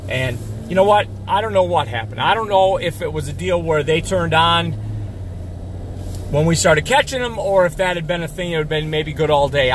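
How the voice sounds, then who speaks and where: A man, a fishing guide, gives a casual and rambling fishing report, full of fillers and restarts. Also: on the high side. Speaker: fishing guide and tournament angler. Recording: outdoor recording with noticeable background noise.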